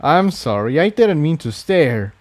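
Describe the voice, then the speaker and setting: A man's voice: fairly high pitch, sing-song, a little slow, a little mellow, no room sound, pitched above the performer's own voice. Man as a polite, uneasy pegasus stallion. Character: polite, uneasy male pegasus blacksmith pony.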